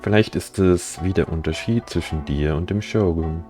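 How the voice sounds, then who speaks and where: Male streamer, low register, brief game commentary and read-aloud choices, music behind him. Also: normal speed. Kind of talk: live game commentary, reading out dialogue choices.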